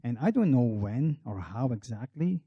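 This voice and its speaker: A man's voice: mid-range voice, sing-song, normal speed, husky, no echo, dull audio. A middle-aged Belgian man giving a public talk, telling a wry, self-deprecating personal story. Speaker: marketing researcher on a panel.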